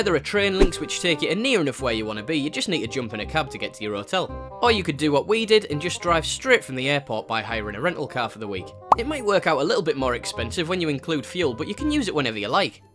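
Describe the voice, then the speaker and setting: A young man from northeast England with a northeast English accent, narrating a travel vlog voice-over with cheeky, jokey asides. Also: fairly high pitch. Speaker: travel vlogger. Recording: voice-over with clear music bed.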